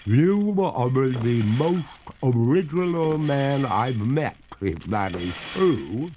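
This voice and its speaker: A man's voice: medium pitch, lively intonation, slow pace, mellow, a little hiss or hum, voice pushed up. A man voicing a female water ghost who speaks in a genteel, plaintive, pleading way.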